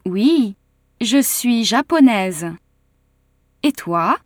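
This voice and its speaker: A woman voicing a young female student in a slow, clearly spoken language-course dialogue. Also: on the high side, expressive, no echo, clean studio sound, heavily acted. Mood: polite. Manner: careful, clearly articulated. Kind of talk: slow scripted textbook dialogue.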